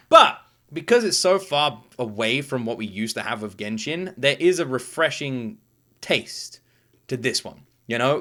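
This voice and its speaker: Guy doing casual reaction vids, bright punchy voice, enthusiastic and informal, bit sweary. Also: medium pitch. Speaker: reaction video host.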